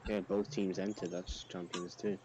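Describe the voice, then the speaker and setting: Low-voiced man chatting on a podcast. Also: level tone, moderate pace, a little mellow, slightly rough. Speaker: podcast panelist. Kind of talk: casual chat.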